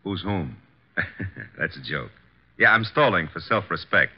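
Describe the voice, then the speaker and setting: An actor playing a wisecracking, loyal American detective's assistant, breezy and slangy, in 1950s radio drama style. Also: male voice, low voice, lively intonation, measured pace, breathy edge, exaggerated voice. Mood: wry, puzzled. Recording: dull vintage 1950s radio recording.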